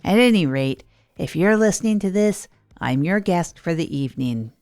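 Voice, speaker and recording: Woman playing hapless radio host, earnest, self-deprecating, rambling, animated intonation, comedy audio drama, soft music behind. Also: fairly low pitch, normal speed. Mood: earnest, wry. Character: Tog Chesterfield, earnest, hapless radio host rebuilding their life. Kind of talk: improvised comedy audio drama monologue, late-night radio parody.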